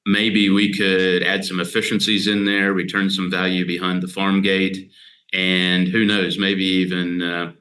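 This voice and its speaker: Deep-voiced American man explaining. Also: poor audio. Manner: steady, measured, explains in detail with frequent "uh" pauses. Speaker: guest dairy scientist. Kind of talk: casual explanatory interview talk.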